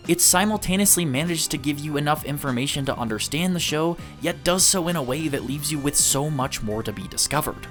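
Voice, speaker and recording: A man's voice: a little high, animated, a little fast, hardly any pauses, a bit bright, faint music behind. A man presenting a video essay as the host, speaking to viewers in a review style. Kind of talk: scripted review narration with occasional casual asides.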